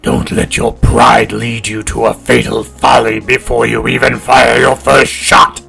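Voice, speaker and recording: An actor playing a proud, scornful alien cat warrior in an audio drama, speaking formally and with command. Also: male voice, medium pitch, flat delivery, unhurried, vocal fry, bright, forceful tone, full character voice. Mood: stern, menacing. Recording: faint music behind.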